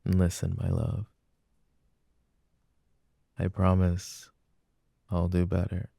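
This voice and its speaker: A man's voice: deep voice, completely flat delivery, slow pace, gravelly, dark tone, breathy edge, no room sound, clean studio sound, full character voice. A young man playing an apologetic, adoring jealous boyfriend in an intimate roleplay, speaking in a casual, hesitant murmur. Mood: tender, adoring. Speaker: voice actor.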